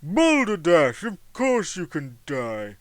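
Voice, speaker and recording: A man playing a smug Victorian gentleman. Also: fairly high pitch, huge pitch swings, slow pace, no room sound, exaggerated voice. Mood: defensive. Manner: self-satisfied, boastful, theatrical. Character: smug, boastful Victorian gentleman game-maker.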